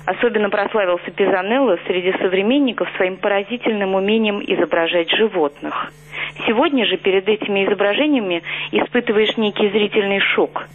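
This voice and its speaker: Woman reading on a tinny archive line. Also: mid-range voice. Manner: even, read-aloud delivery. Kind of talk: scripted narration on art history. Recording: tinny archival phone-like audio.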